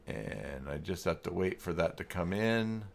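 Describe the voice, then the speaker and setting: Low-voiced man thinking aloud. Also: expressive, vocal fry. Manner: halting, muttering to himself while working. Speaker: live stream host giving web tutorials. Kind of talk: casual live tech walkthrough, thinking aloud.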